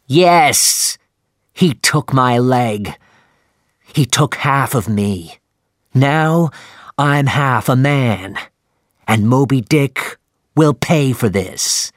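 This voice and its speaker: A man voicing an obsessed, raging one-legged whaling captain who booms his lines slowly and loudly, read from a storybook. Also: no echo, studio quality. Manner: loud booming declarations, drawn-out words.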